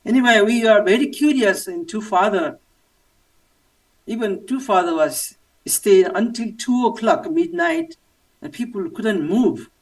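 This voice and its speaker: A man from Nepal with a Nepali accent, giving a personal testimony in halting English with many fillers. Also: high voice, big pitch swings, far from the mic, low-quality recording. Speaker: church member giving testimony.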